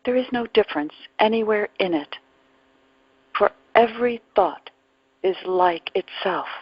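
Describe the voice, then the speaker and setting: A woman guides a spiritual meditation at a very slow pace, leaving long pauses between phrases. Her voice is breathy and soft-edged, sometimes sinking toward a whisper. The recording is dry and close, but dull and muffled. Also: medium pitch, lively intonation, no echo. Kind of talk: guided meditation, reflective spiritual talk with readings.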